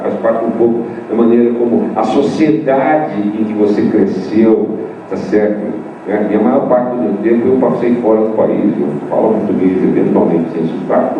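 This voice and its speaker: An older male professor answering a student in a debate, emphatic, with repeated points and rhetorical questions. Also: medium pitch. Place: large hall at a university meeting. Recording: distant echoey room mic, dull and noisy.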